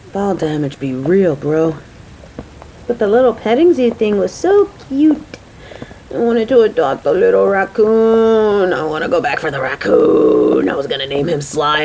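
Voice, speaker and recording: A woman's voice: lowish voice, darkish tone, a little hiss or hum, rough recording. A woman playing a caring, playful coworker on a date in an audio roleplay, talking to the listener in a motherly, teasing, chatty way.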